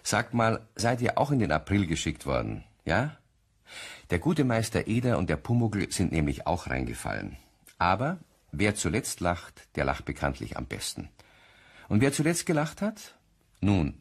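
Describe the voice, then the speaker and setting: A man's voice: low-pitched, huge pitch swings, normal speed, creaky, slightly rough, full character voice. A man as a genial storyteller, chattily narrating a radio play for children. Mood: genial storytelling. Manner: chatty, addresses listeners directly.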